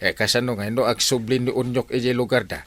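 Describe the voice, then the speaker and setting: A man's voice: mid-range voice, fast, slight background noise, lightly acted. An actor with an Ilocano accent playing an exasperated, sputtering male village captain in a comedy radio drama. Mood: exasperated scolding. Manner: animated, sputtering, repeats exclamations. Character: exasperated village captain scolding his wayward men.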